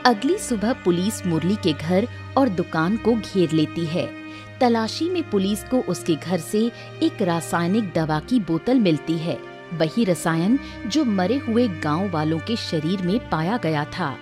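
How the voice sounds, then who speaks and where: A woman's voice: lowish voice, expressive, measured pace, over music. A woman narrating a scripted moral story in a dramatic storytelling style.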